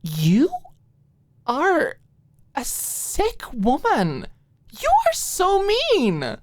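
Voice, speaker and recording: A woman's voice: sits high, wildly swooping pitch, drawn-out words, no echo, pitched above the performer's own voice, full character voice. A woman voicing a giddy, playful young woman in an audiobook, tipsy and teasing, with a squealing, pouty manner. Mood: playful outrage. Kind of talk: audiobook character acting.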